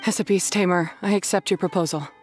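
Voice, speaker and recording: A woman as a low, wry beast tamer. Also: darkish tone, faint music behind, natural voice. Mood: resigned, solemn. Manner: dry, measured, formal. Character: cool, wry adult female beast tamer, grudgingly courteous. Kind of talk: video game voice acting.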